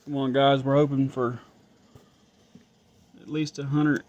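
A man's voice: medium pitch, little pitch movement, unhurried, darkish tone. A man thinking aloud as he tests an engine at his garage workbench, casual and a bit frustrated. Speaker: home mechanic vlogger. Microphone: phone camera held close.

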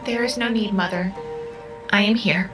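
An actress voicing a calm female artificial intelligence in an audio drama, speaking precisely and formally. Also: mid-range voice, moderate pace, lightly acted. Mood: grave, formal. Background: music.

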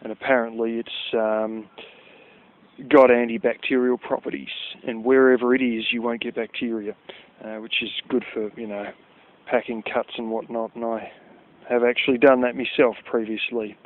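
A man's voice: medium pitch, level tone, moderate pace. A man with an Australian accent, casually rambling as he walks outdoors by a river, blunt and chatty with offhand swearing. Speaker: vlogger. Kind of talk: casual walking commentary. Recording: tinny phone-like outdoor recording.

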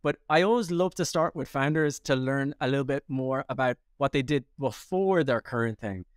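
A man's voice: medium pitch, normal speed, smooth, no echo, clean studio sound. A man hosting a podcast interview, eager and polite as he welcomes and questions a guest. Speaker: podcast host. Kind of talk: interview host on a video call.

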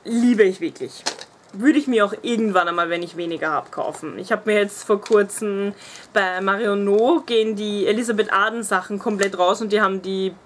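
A woman's voice: mid-range voice, moderate pace, a little hiss or hum. A woman in her twenties with a Viennese accent, chatting casually and gushing about used-up beauty products, laughing often, in a small room at home. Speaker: beauty vlogger. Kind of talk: casual empties review.

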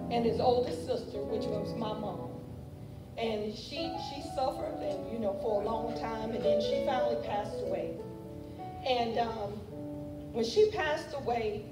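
A woman with a fairly high voice delivers a family eulogy in measured phrases. She sounds a bit far from the mic in a roomy space, with loud music behind. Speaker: family member giving a funeral tribute. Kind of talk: funeral eulogy. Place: large church sanctuary, indoors. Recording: echoey church, distant, loud music behind.

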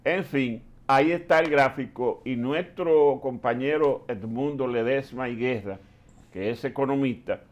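A man's voice: mid-range voice, unhurried, highs cut off. A man, hosting and introducing a segment on a TV news program. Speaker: TV show host.

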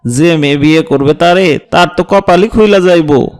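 A man's voice: on the high side, normal speed, lightly acted. A man playing an eager, jokey village gossip in a cartoon, using colloquial rural dialect. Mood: amused gossiping. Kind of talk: cartoon story voice acting.

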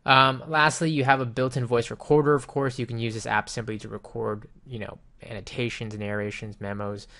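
A man with a mid-pitched voice full of vocal fry talks through a piece of software. His delivery is informal and explanatory, like a casual reviewer recording a screen demo at his computer. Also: flat delivery, brisk, hardly any pauses.

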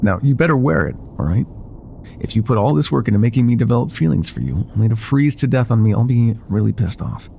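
A man playing an older ex-mafia boyfriend in an intimate ASMR roleplay, speaking softly and affectionately with some hesitation. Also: mid-range voice, lively intonation, quick pace, gravelly, husky. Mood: playful, affectionate. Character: older ex-mafia boyfriend, tender, teasing, vulnerable bar owner.

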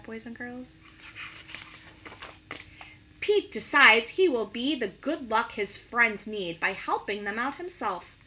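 A middle-aged woman reads a picture book aloud to young children. Her voice is high and bright, with lively swings of pitch, and she stops to put questions to her listeners. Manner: expressive, pausing to ask listeners questions. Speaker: teacher reading to children. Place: small living room at home, indoors.

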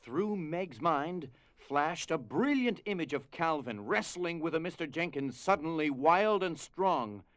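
A man narrating a scripted drama as storyteller, with grave, deliberate phrasing. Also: on the high side, expressive, fairly bright tone, no echo.

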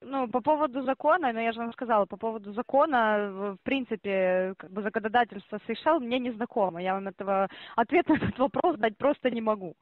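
Woman call center operator talking fast and pushy, dry muffled phone audio. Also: a little high, no echo. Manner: insistent, explaining at length.

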